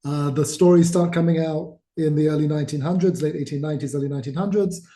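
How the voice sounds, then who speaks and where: A middle-aged man from Malaysia speaks as a guest author, his tone deeply mellow and his voice sitting a little high. He tells his stories with real enthusiasm. The room around him is echoey. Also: fairly even intonation, fast talker. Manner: enthusiastic, storytelling. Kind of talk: conversational interview. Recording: roomy echo.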